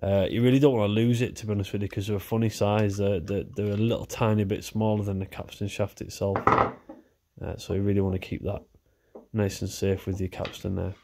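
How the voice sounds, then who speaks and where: Low-voiced man, nasal from a cold. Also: fairly quick, a little hiss or hum. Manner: chatty, relaxed, talks while working with hands. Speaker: repair video host. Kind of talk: casual repair walkthrough.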